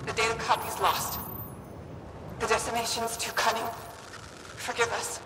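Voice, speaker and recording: An actress playing a weary, defeated female ship captain recording a grim, halting final log, in noisy game audio. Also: fairly high pitch, level tone, lightly acted.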